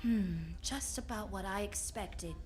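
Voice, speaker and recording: A woman's voice: medium pitch, a little slow, loud music behind the voice, natural voice. A woman playing a wisecracking, confident detective who tells her case in sassy slang, in old-time radio drama style. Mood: curious, cool. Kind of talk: 1940s radio drama acting.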